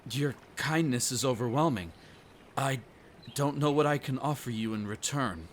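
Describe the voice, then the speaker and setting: A man playing an injured young stranger in an audio roleplay, polite and soft-spoken, with hesitant, rather formal speech. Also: medium pitch, normal speed, a little hiss or hum, lightly acted. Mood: grateful.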